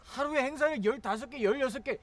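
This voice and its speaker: A man's voice: very high-pitched, quick pace. An actor playing a whiny, worn-out middle-aged male singer who complains in a sitcom. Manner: whining, complaining. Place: outdoor park. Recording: background music under dialogue.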